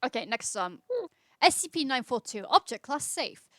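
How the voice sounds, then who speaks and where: A woman's voice: on the high side, sing-song, moderate pace, a bit bright, dry recording. A woman hosting a livestream, chatting casually and reading aloud informally, with small stumbles and self-corrections. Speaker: livestream host.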